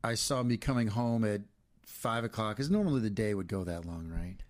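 A man's voice: on the low side, animated, a little fast. Playful teasing middle-aged man. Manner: playful, teasing, swears freely. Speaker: podcast co-host. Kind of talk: casual banter.

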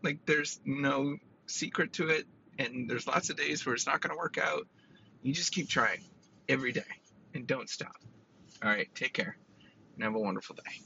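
A man doing his PhD, giving casual motivational advice with a raspy, tickly throat, rambling with many restarts and fillers. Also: mid-range voice, moderate pace, pauses often, sharp tone, dull audio, a little hiss or hum. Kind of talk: casual motivational talk to camera.

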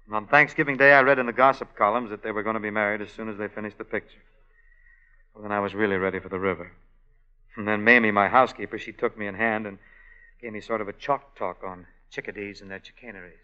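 A man's voice: fairly low pitch, steady tone, fast talker, sharp tone, clean tone, highs cut off. An actor playing a lovesick innkeeper who tells his story wryly and easily, in 1940s radio acting on an old broadcast. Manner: wry, easygoing storytelling. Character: lovesick, wry male innkeeper and singer.

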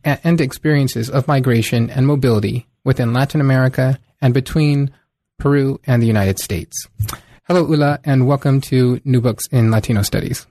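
A man hosts a book podcast, reading a formal guest introduction before moving into questions. He keeps a steady, measured delivery in a mid-range voice. The sound is close and dry. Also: medium pitch, no echo. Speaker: podcast host. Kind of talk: scripted introduction and interview questions.

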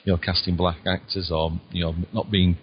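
A middle-aged man with a Lancashire accent speaks as a guest author, his voice very deep. He muses hesitantly, often pausing and hedging his points. The sound is dull and lo-fi. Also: flat delivery, slight background noise. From England. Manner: hesitant and musing, hedges with "I suppose". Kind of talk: casual interview chat.

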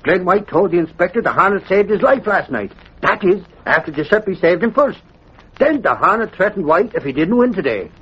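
A good-natured, blustery tough guy. His voice sits high and swings widely, folksy and emphatic like vintage radio drama, on a muffled old recording. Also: lively intonation, fairly quick, breathy edge, full character voice. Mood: teasing, boisterous.

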